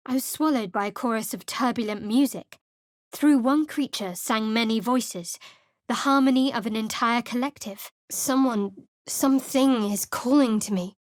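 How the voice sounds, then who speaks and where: A woman's voice: a little high, moderate pace, dry recording, natural voice. A woman voicing a guarded, sardonic young cleric adventurer in a game, with a dry, cutting delivery. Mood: wry. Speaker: video game character. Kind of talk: game voice lines.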